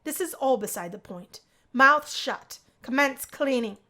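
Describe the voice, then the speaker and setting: A woman's voice: high voice, lively intonation, a little slow, sharp tone, full character voice. Visual novel role: fed-up college guy played by a woman, stern, bossy, giving orders. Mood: furious. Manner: stern and commanding. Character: angry, bossy male college roommate, fed up. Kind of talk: visual novel character voicing.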